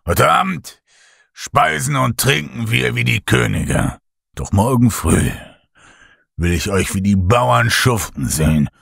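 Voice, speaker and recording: A man's voice: medium pitch, expressive, slow, vocal fry, a bit bright, slightly rough, no room sound, studio quality, exaggerated voice. A man voicing a domineering bearded ship captain who bellows threats at his crew. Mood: bullying, boisterous.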